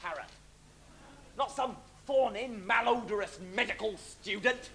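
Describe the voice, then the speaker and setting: High-pitched blustering pirate captain villain. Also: male voice, a little slow, creaky, sharp tone, full character voice. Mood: exasperated bellowing. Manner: theatrical, bellowing, plays to the audience. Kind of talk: amateur stage pantomime acting. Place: stage of a live student pantomime. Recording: noisy live stage recording.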